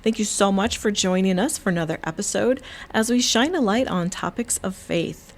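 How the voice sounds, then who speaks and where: A woman's voice: mid-range voice, normal speed, a little hiss or hum. Upbeat woman hosting a podcast. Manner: upbeat, welcoming, a few slips. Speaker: podcast host.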